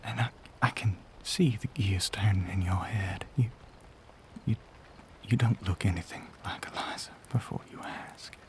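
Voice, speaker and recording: A man playing a lonely, hungry Southern gentleman vampire in an intimate audio roleplay, speaking haltingly in a breathy voice with a Southern US accent. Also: fairly low pitch, voice pushed down, lightly acted. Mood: hesitant, conflicted. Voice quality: breathy, whispery. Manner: halting, hesitant, drawn-out phrases.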